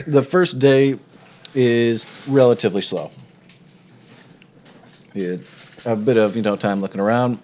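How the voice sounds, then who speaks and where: A man's voice: fairly low pitch, warm tone, a little hiss or hum. A man working as game master, narrating a tabletop game in a casual, improvised way.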